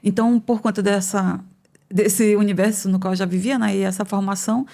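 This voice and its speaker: A woman in her fifties from northern Brazil, an economics professor answering interview questions in a thoughtful, measured way with frequent pauses and hesitations. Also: medium pitch, fairly even intonation, creaky, warm tone. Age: 50s. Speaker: economics professor, podcast guest. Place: podcast studio.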